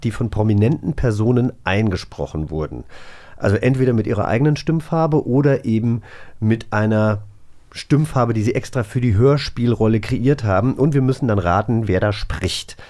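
A man's voice: mid-range voice, darkish tone. A man hosting a casual podcast, chatting in an enthusiastic way. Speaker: podcast host. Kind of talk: casual talk show chat.

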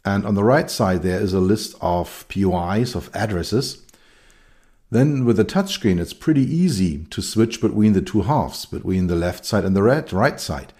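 A middle-aged man with a German accent talks through his ideas on his own. His voice sits very low and sounds mellow. He pauses, repeats words and fills gaps with ums. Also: expressive. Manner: thoughtful, with many fillers and restarts. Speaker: consultant and keynote speaker. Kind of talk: solo talk on design.

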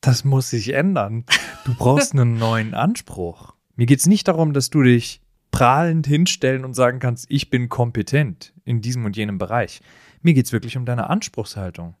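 A man speaks as a podcast host in a casual coaching style. His pitch is lively and varied, and the recording is dry and close. He gets straight to the point. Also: moderate pace, no echo. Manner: coaching, direct, often laughing. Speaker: podcast host giving advice. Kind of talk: casual advice talk.

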